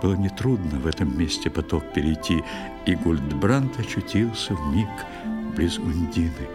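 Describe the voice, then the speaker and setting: A man narrating a fairy tale in verse with measured, expressive delivery. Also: low voice. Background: faint music.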